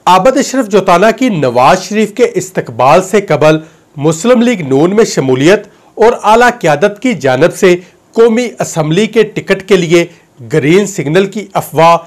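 A man's voice: fairly high pitch, animated, measured pace. A man in his thirties with a Pakistani Urdu accent, presenting scripted political news in a formal, declamatory way from a small studio, holding a handheld mic. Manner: formal, declamatory, with gesturing hands. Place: studio in front of a dark backdrop. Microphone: handheld mic held at chest.

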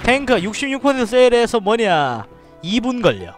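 An excitable man with a Daegu dialect streams a strategy game, his high voice leaping around as he shouts commands. Between bursts he drops to low mutters and asides to viewers. Faint music plays underneath. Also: normal speed. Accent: Gyeongsang (Daegu) dialect. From Daegu, South Korea. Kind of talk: live game commentary.

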